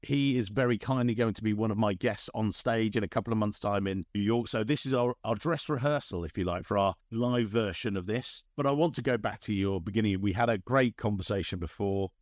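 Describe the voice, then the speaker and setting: A man's voice: on the low side, fairly quick, hardly any pauses, dry recording, studio quality. A man hosting an interview podcast, presenting guests and putting questions to them in a presenter's style. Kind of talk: scripted podcast intro and interview.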